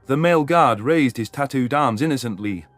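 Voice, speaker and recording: A man's voice: medium pitch, animated, moderate pace, dry recording, soft background music. A man reading scripted first-person science fiction as a story narrator.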